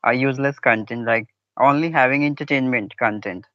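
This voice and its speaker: A man's voice: medium pitch, fairly quick, fairly bright tone, dry recording. Polite guy, mid voice, keeps saying ma'am, dry dull sound. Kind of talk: conversation practice chat.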